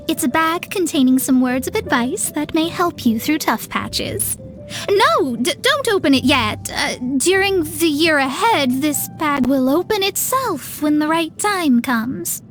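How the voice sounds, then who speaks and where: An actress playing a proud, easily flustered young astrologer in a video game, with an articulate, haughty and theatrical delivery. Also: female voice, sits high, expressive, measured pace, faint music behind. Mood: warm, self-important. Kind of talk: video game character voice lines.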